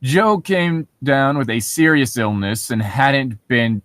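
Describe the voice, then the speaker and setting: A man co-hosting, reading internet horror stories aloud with casual commentary in a halting, self-correcting manner. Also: mid-range voice, a bit bright, no echo.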